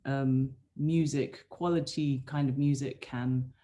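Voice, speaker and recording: A woman's voice: extremely low pitch, steady tone, slow pace, warm tone, highs cut off. A woman musician talking over a video call, earnest and searching, often restarting her sentences. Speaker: musician guest.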